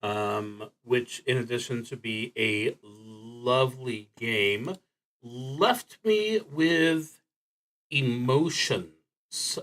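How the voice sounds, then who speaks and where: A man hosting a solo role-playing livestream, talking casually and haltingly with long pauses and a wry, self-deprecating streak. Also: mid-range voice, a bit bright, low-quality recording. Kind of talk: casual livestream talk introducing a game.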